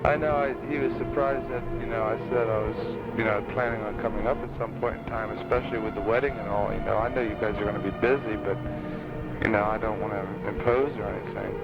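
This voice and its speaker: A man's voice: lowish voice, steady tone, fast talker, creaky. A man chatting casually on the phone, hesitant and often groping for his words. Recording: dull lo-fi 1980s taped phone call. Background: loud music.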